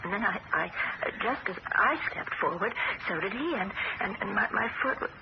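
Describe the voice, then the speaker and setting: A woman's voice: mid-range voice, animated, normal speed, vocal fry. An actress playing a fragile, frightened young woman who dwells on death, in a radio drama, talking dreamily and fearfully. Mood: anxious. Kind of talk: 1970s radio drama acting. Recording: muffled old radio broadcast with loud hiss.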